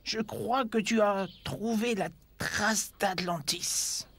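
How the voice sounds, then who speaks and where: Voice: medium pitch, takes it slowly, gravelly, fairly bright tone, a little hiss or hum. An actor voicing a grumpy, absent-minded old grandfather animal in a French cartoon dub, grumbling and musing hesitantly. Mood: intrigued, explaining. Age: older.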